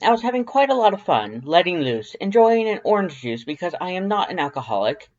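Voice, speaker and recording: Woman acting theatrical male skeleton, animated melody, ornate formal diction, prissy, fan audio drama, slightly muffled sound. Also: fairly low pitch, brisk. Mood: animated, playful. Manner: formal, grandiose, enunciated delivery with ornate words.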